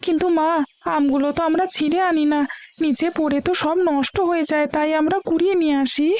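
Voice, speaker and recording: A woman's voice: sits high, fairly even intonation, quick pace, a little mellow, no room sound, natural voice. A woman voicing an eager, playful village schoolboy in a cartoon story, pleading in manner. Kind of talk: Bengali cartoon story voice acting.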